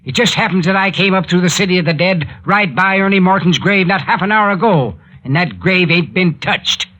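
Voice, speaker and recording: An actor playing a crusty, suspicious old mayor with a rural American accent and gruff folksy manner in a 1940s radio drama. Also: male voice, high voice, fairly quick, sharp tone. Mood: accusing. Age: older.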